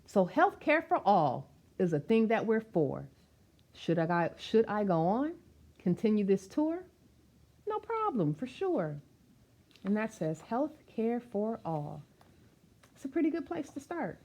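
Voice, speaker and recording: Woman doing storytime read aloud, super lively pitch swings, emphatic, chats to the listeners. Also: slow pace. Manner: expressive, emphatic, asking the listeners questions. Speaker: storyteller reading a picture book to children. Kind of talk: animated read-aloud of rhyming picture book with asides.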